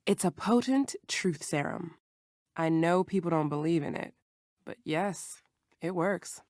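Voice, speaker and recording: A woman's voice: lowish voice, lively intonation, a little slow, a little mellow, no room sound, clean studio sound. An actress playing a firm, private, defensive woman in a video game. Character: firm, private woman who believes in potions.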